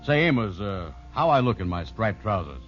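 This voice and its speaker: An actor playing a gullible, good-natured middle-aged bridegroom in an old radio sitcom, hesitant and simple. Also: male voice, lowish voice, very animated, moderate pace. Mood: nervous. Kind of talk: 1950s radio sitcom acting. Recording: muffled noisy old radio broadcast.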